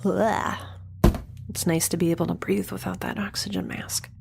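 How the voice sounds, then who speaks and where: A woman's voice: lowish voice, flat delivery, normal speed, vocal fry, noisy background. A woman playing a defiant young fugitive in an audio drama, sarcastic and conversational. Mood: wry, bargaining.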